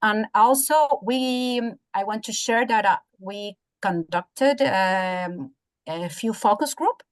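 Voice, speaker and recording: A Chilean woman with a native Spanish speaker's accent talks at an unhurried pace in a middle-pitched voice. She comments like a university educator in an online discussion, halting as she hunts for words. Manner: halting, searching for words in non-native English. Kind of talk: spoken feedback in an online academic discussion. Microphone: video call.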